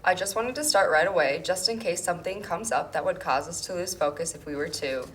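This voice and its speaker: Possessive, jealous student read by a woman, lowish voice, faint noise behind the table read. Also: a little fast, close to an everyday voice. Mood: eager. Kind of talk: amateur table read of drama script. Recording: faint noise, amateur room recording.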